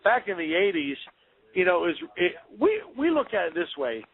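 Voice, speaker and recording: A man's voice: high-pitched, brisk, husky, phone-quality sound. A man from North Carolina, a metal band member, chatting casually in a radio interview and telling stories. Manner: storytelling, animated impressions.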